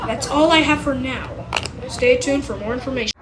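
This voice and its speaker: High-voiced amateur playing a news anchor woman's voice. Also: normal speed, music in the background, natural voice. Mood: announcing. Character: TV news anchor reading mock disaster bulletins. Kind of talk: amateur pretend news broadcast.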